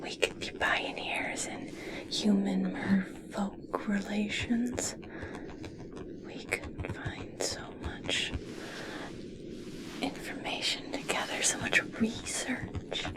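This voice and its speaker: A woman playing an obsessed, possessive yet tender scientist in a slow, breathy, intimate ASMR roleplay whisper. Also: medium pitch, wildly swooping pitch. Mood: dreamy, hopeful.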